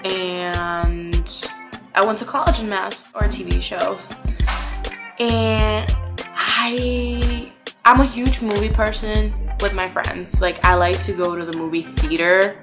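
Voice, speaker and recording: A woman's voice: medium pitch, steady tone, slow pace. A woman in her twenties from Puerto Rico, chatting casually and self-mockingly about herself with plenty of ums and likes. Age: 20s. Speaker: vlogger. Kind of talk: casual get-to-know-me chat. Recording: music playing clearly behind the voice.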